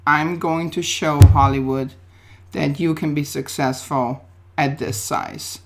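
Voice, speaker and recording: Austrian-accented female interview guest, low voice, upbeat and determined. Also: takes it slowly, noisy background. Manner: upbeat, determined, speaks in short affirmations. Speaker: guest, plus-size model. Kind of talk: interview answers.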